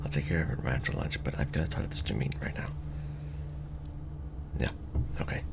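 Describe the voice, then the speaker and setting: A man playing a jaded, sardonic manager at a secret society in an ASMR roleplay, speaking in a dry, world-weary deadpan. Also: extremely low pitch, fast, creaky, heavily acted. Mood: harried, exasperated. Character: jaded, sardonic male Illuminati manager briefing a new recruit.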